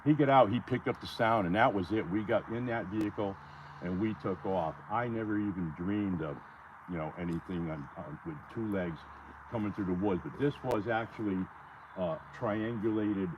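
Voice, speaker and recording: A man's voice: on the low side, normal speed, lo-fi sound. A man outdoors on a wooded ridge, earnestly telling the story of his encounter, rambling and restarting as he goes. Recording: outdoor noise.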